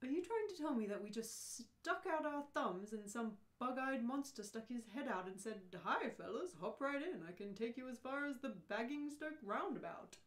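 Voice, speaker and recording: A bewildered, sarcastic everyman from a radio play, played by a woman, high in pitch and very animated. The delivery is incredulous and exasperated, in an echoey room. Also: moderate pace, few pauses, close to an everyday voice. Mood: dazed, sarcastic. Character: bewildered, exasperated, sarcastic ordinary Englishman swept into space. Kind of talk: radio play read-through. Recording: roomy, echoing room sound.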